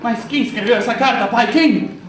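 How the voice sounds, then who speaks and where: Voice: medium pitch, moderate pace, lightly acted. A performer playing a pleading, insistent son in a radio melodrama, declaiming theatrically. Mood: pleading, dramatic. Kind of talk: theatrical radio drama parody. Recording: echoey stage from far off, guitar behind.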